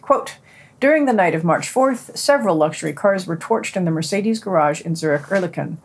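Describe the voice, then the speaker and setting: A woman with a fairly low, husky voice reads podcast news from a script. She is deliberate in her delivery, setting out quoted passages formally as she goes. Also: lively intonation. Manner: deliberate, announces quotations and their ends. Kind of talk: scripted news reading with read-out quotations.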